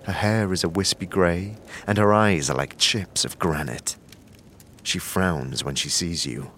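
A man narrates an audio drama in a measured, descriptive way, addressing the listener in the second person. His voice sits low. Faint background noise hangs under the recording. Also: a bit bright.

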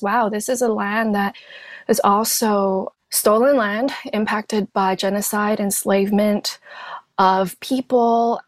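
A woman from Hong Kong whose first language is Cantonese, a therapist guest telling her story in a thoughtful, measured way. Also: mid-range voice, dry recording. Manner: thoughtful, measured, speaks in lists. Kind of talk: reflective personal storytelling.

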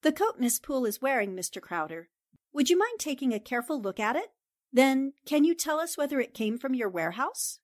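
A woman's voice: high-pitched, very animated, fairly quick, dry recording, clean studio sound. A woman playing a confident, determined newspaper sleuth in 1940s radio drama style, assertive and politely pointed. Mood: brisk, businesslike.

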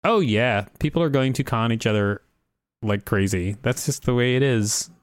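A man's voice: medium pitch, moderate pace, clean tone, no echo, studio quality. A man co-hosting a podcast, reading an article aloud and chatting casually. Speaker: podcast co-host.

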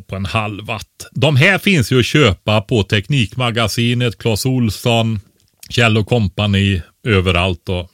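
A man with a fairly deep voice explains things on a podcast in a casual, teacherly way. He often checks in with a short questioning tag. The sound is very dry, with little room in it. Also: fairly bright tone, no echo. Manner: didactic, often tags statements with a questioning "va?".

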